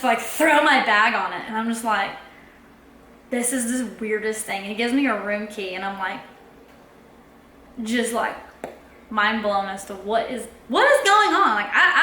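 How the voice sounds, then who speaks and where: A woman's voice: fairly high pitch, sharp tone. A young woman, telling a personal story in a casual, chatty way and acting out her own past lines. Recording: echoey room with faint background noise.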